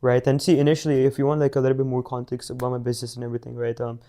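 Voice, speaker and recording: A man's voice: medium pitch, monotone, racing, dark tone. A teenage man from India, a young business founder answering interview questions in a casual, rambling way with many fillers and restarts. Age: teens.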